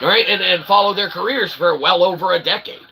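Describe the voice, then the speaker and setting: A man hosting a casual, rambling livestream, self-deprecating and excitable, speaking of himself as "we.". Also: high-pitched, brisk. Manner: refers to himself as "we". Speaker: livestream host. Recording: clearly noisy background ambience.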